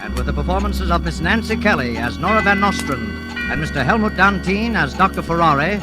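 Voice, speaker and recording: A formal announcer introducing a 1940s radio drama in a dramatic way. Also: male voice, on the high side, animated, fairly quick, loud music behind the voice, low-quality recording.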